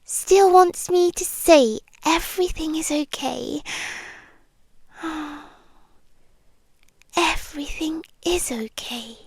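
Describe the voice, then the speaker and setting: A British woman voicing a possessive vampire girlfriend in an ASMR roleplay, gentle and coaxing with quiet menace, often slipping into whispers close to the microphone. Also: high voice, no echo. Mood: soothing, controlling. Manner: soft, deliberate, lingering pauses, slipping into whispers. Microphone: close microphone, dry.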